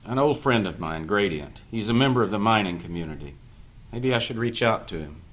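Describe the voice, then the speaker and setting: A man playing a gentle, older painter and mentor in an audio drama read-through, unhurried, wry and caring. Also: fairly low pitch, lively intonation. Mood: absorbed, wry. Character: gentle old painter and mentor, cafe owner. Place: cast read-through in a room. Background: music underscore.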